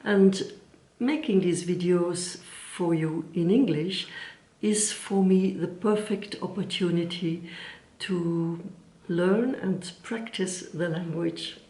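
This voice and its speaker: Belgian woman, dutch accent, talks real slow and mellow, bit echoey room. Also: on the low side. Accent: Dutch-speaking Belgian. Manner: gentle, searching for words, unhurried. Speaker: craft channel host. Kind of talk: casual chat to camera.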